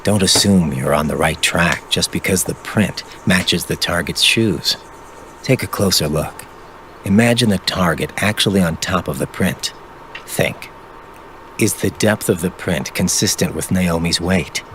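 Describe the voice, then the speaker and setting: An actor playing a stern, measured scouting mentor in a video game, instructing in deliberate, clipped phrases. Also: male voice, sits low, a bit bright, a little hiss or hum. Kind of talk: video game voice acting, tutorial briefing.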